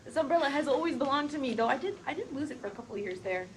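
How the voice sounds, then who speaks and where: A quirky young woman with a slightly high voice and a teasing way of talking. It is a sitcom romance read aloud, with clear room noise behind her. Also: lively intonation, rapid-fire. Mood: playful, insistent. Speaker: actress at a script table read. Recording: noisy live room ambience.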